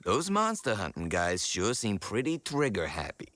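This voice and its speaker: A man's voice: on the low side, wildly swooping pitch, normal speed, a bit bright. A man playing a grumbling, sardonic, always hungry adventurer sidekick in a comedy audio drama.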